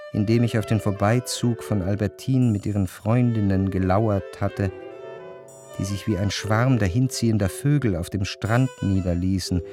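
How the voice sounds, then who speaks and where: A male actor as the reflective first-person narrator of a literary radio drama, speaking in a measured way. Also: fairly low pitch, natural voice. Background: faint music.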